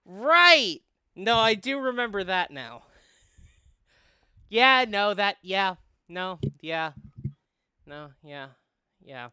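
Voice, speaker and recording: A man's voice: high-pitched, sing-song, slow pace, pauses often, bright, forceful tone, clean tone, dry recording, studio quality. A person, a man, hosting a game playthrough in casual chat and reading aloud. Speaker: host of a visual novel playthrough. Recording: music behind the voice.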